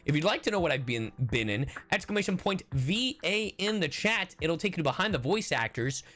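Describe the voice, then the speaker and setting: A streamer chatting casually and reacting to a game in a playful, sarcastic, expressive way. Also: mid-range voice. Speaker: streamer, VTuber and voice actor. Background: background music.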